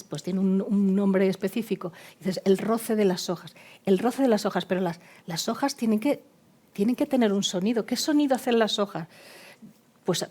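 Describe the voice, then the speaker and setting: Mellow-voiced woman speaking haltingly on a panel. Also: mid-range voice. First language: not Spanish. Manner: hesitant, many fillers and restarts. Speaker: expert panelist. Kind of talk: panel discussion.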